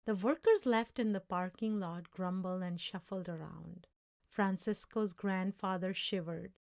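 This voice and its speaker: A woman storyteller reading a children's story aloud in a measured, expressive way. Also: on the low side, creaky. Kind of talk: scripted children's story narration, read aloud.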